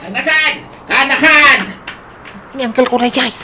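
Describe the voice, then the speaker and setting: A woman's voice: sits high, measured pace, sharp tone, soft background music, heavily acted. An actress playing an emotional, fretful grieving woman in an Ilocano radio drama, with exclamatory, tearful delivery and an Ilocano accent. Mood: frantic alarm. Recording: faint background noise.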